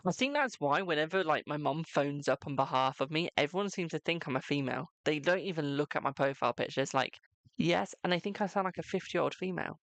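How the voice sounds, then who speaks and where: A young man with a naturally high voice, reading tarot cards live and chatting cheekily with viewers, calling them "my darling". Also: quick pace, fairly bright tone, dry recording. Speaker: tarot reader on a livestream.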